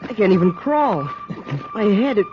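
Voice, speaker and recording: An actor playing an eager, anxious young space cadet sidekick with an excitable manner in a 1950s radio drama. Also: male voice, sits high, moderate pace. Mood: distressed. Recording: muffled, noisy vintage radio recording.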